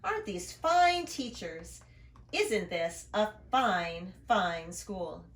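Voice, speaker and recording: A woman voicing a proud, overeager male school principal in a storybook, declaiming with drawn-out, emphatic words. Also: mid-range voice, animated. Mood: proud, admiring. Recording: roomy, echoing room sound.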